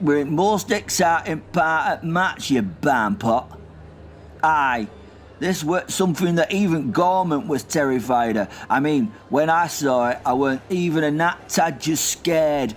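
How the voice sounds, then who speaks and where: Gruff old war veteran, played by a man, rambling and cursing, slightly high voice, faint noise behind. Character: grumpy old male northern war veteran. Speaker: comic character.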